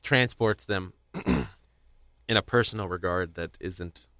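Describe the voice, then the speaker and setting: A man hosting a conversational podcast interview, speaking in a halting, rambling way as he searches for words. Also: sits low, a bit bright, dry recording, studio quality. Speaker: podcast host.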